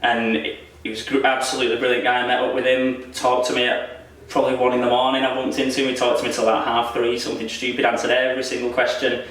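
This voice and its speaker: A young man talks quickly in a loose, rambling way, often poking fun at himself and laughing. His voice sits at an ordinary pitch but comes from some distance off the mic, and it bounces around a very echoey room. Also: monotone, a bit bright. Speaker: wrestler interviewee. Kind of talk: casual interview chat.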